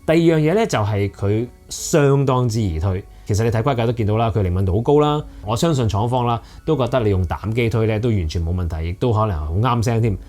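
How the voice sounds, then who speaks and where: A man's voice: on the low side, lively intonation, fast talker, few pauses, a little mellow, soft background music. A man with a Hong Kong accent giving a conversational, explanatory product review as host. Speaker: audio equipment reviewer and host.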